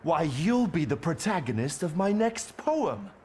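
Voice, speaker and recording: An actor playing a cocky, loyal, sharp-tongued rogue poet in a video game, flippant and mocking. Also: male voice, a little high, big pitch swings, a little slow, a little hiss or hum. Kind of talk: dramatic video game dialogue acting.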